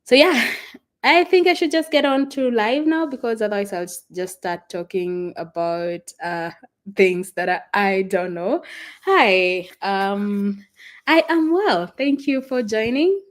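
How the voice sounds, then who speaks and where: A young Kenyan woman with a Kenyan accent, whose first language is Swahili, chatting casually and rambling with fillers and soft laughs as she hosts a travel livestream from a friend's house. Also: mid-range voice, animated, unhurried. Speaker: travel vlogger hosting a livestream. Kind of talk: casual livestream chat with viewers.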